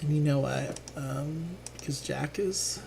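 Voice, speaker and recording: Unhurried host with a lingering cough, chatty think-aloud game commentary, light room noise. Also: medium pitch, vocal fry. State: lingering cough after illness. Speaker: live streamer. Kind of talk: casual live board game playthrough commentary.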